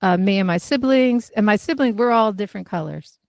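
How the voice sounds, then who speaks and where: Woman from the US, podcast guest, measured and thoughtful, dry close sound. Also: medium pitch, gravelly, a little mellow, dry recording. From United States. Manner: thoughtful, measured, uses fillers. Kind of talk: conversational interview.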